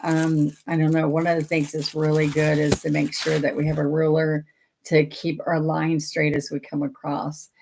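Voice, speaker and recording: A woman's voice: low voice, monotone, moderate pace, background noise. An older woman, chatting folksily as she teaches a painting lesson and greets viewers by name. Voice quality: raspy. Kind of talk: casual livestream painting lesson.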